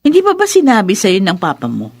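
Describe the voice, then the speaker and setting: A woman's voice: mid-range voice, wildly swooping pitch, fairly quick. An emotional middle-aged shopkeeper mother in a Filipino radio drama. Her mid-range voice rises and dips dramatically. She sounds earnest and pleading, with a Filipino accent. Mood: indignant.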